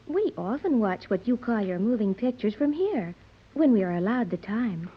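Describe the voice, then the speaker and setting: A woman's voice: mid-range voice, animated, moderate pace, husky. An actress playing an innocent, literal-minded young woman in a 1930s radio serial, with precise, stilted diction. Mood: grave, instructive. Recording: muffled, worn 1930s radio recording.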